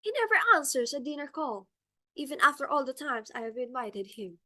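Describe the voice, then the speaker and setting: A haughty society matron played by a woman in a stage comedy. Her voice sits high and has a bright, forceful edge. She frets and commands with melodramatic exclamations. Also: very animated, fairly quick, full character voice. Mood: flustered, haughty. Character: haughty, fretful society matron hosting a dinner party. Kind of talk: theatrical stage comedy acting.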